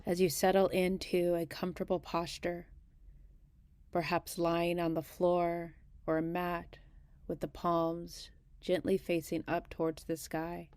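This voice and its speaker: A woman guiding a meditation in a gentle, unhurried manner, leaving long pauses between short phrases. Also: fairly low pitch, little pitch movement, smooth, dry recording. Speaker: meditation guide. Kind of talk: guided body scan meditation.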